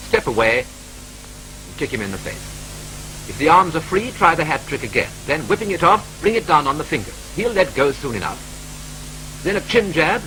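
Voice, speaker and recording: A man with a British accent narrating a 1940s training film in a brisk, clipped, wryly matter-of-fact manner, from an old film soundtrack. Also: a little high, pushed and bright, slight background noise, rough recording.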